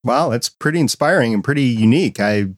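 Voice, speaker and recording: A man's voice: mid-range voice, animated, fairly quick, dry recording, studio quality. A man hosting a podcast, making announcements and chatting in a conversational way. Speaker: podcast host.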